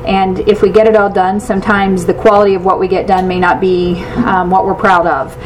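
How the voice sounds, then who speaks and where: A woman's voice: medium pitch, little pitch movement, brisk, slight background noise. Woman introducing a speaker from a distance. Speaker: event host introducing a speaker. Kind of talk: formal introduction.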